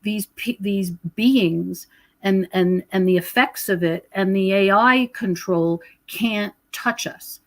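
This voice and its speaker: A mature woman talks as a guest in a conversational interview. She explains matters of faith earnestly, with a mid-pitched voice. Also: slow. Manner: earnest, explanatory, quotes scripture. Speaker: podcast guest, author and researcher. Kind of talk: conversational spiritual teaching.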